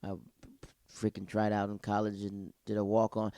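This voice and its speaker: Brief: young male solo podcast host, loose and slangy, mellow dark tone, dry close sound. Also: fairly low pitch, completely flat delivery, normal speed, smooth, no room sound. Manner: rambling, digressive, slangy. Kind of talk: casual TV episode review.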